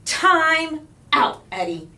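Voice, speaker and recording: Schoolteacher acted by a woman, high emphatic pitch, swooping, commanding, fed up, storybook. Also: big pitch swings, drawn-out words, pushed and bright, full character voice. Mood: exasperated. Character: fed-up, exasperated schoolteachers scolding and addressing a class. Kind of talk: children's storybook read-aloud.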